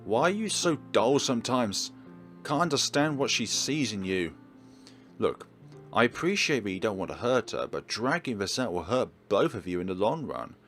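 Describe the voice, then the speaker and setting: A man voicing a blunt, exasperated high school girl in a visual novel, with a scolding, emphatic manner. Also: very animated, moderate pace, fairly bright tone, soft background music.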